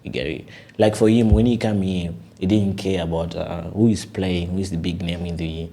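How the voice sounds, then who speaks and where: Deep-voiced earnest young man. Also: normal speed, gravelly. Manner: earnest and animated, often says "you know". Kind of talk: casual podcast conversation.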